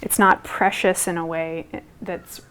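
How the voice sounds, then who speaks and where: A woman's voice: fairly low pitch, little pitch movement, unhurried, fairly bright tone, slight background noise. A young woman textile artist from Guatemala whose first language was Spanish, speaking casually in an interview, with lots of fillers and restarts. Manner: rambling, many fillers and restarts.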